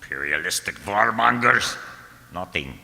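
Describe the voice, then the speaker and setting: Man as a deep, forceful, dour onion general. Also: animated, measured pace, creaky, heavily acted. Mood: proud, deadpan. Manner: stiff, formal, dry. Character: proud, dour onion army general, garlic-descended. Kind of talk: satirical radio comedy acting. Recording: hall-like reverberant stage sound.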